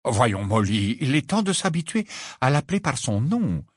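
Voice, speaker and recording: A man reading the part of a calm, grave male wizard in an audiobook, speaking firmly and soothingly. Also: medium pitch, normal speed, breathy edge, no echo, studio quality, voice pushed up. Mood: firm, reassuring. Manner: grave, reassuring, firm.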